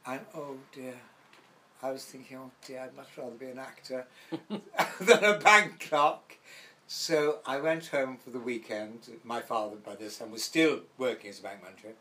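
A man's voice: mid-range voice, moderate pace, pushed and bright. An older man from Wales with a light Welsh accent, reminiscing as a guest in an interview and acting out the voices in his stories. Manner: rambling anecdotal storyteller, acts out quoted voices. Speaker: actor guest.

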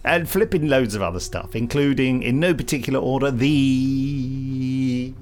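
A man's voice: medium pitch, a little slow, a little mellow. A middle-aged man with an English accent co-hosting a podcast, rambling playfully between jokey chat and mock-grand scripted announcements. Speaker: podcast co-host.

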